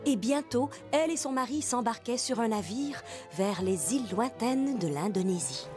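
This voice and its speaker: A woman's voice: on the high side, animated, moderate pace. A woman narrating a scripted children's cartoon story in French as its storyteller. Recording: loud music under the voice.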